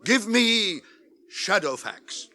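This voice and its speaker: An older actor with a British accent playing a stern, imperious old wizard in a theatrical fantasy radio play. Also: male voice, high voice, very animated, sharp tone, exaggerated voice. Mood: stately. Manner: imperious, measured, declamatory.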